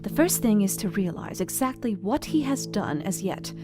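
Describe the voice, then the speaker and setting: An actress playing an earnest young Victorian wife, reading her journal with precise, deliberate diction in a Gothic audio drama. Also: mid-range voice, big pitch swings, lightly acted. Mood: analytical, intent. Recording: music behind the voice. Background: instrumental music.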